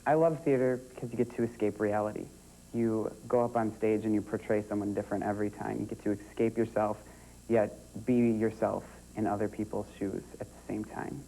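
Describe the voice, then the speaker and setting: A seventeen-year-old American man, a high school theater student, introducing himself. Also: little pitch movement, a little fast, gravelly, dark tone, a little hiss or hum, poor audio. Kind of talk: plain self-introduction.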